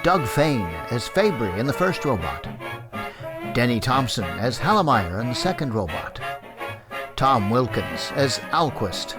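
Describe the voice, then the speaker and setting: A man working as an announcer, reading scripted cast credits. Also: mid-range voice. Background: music.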